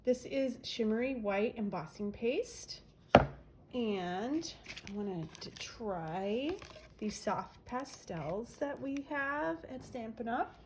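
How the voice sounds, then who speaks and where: A woman's voice: fairly high pitch, expressive, slow pace, noisy background. Nasal woman chatting through a craft demo. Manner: chatty, narrates each step while working. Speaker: craft demonstrator.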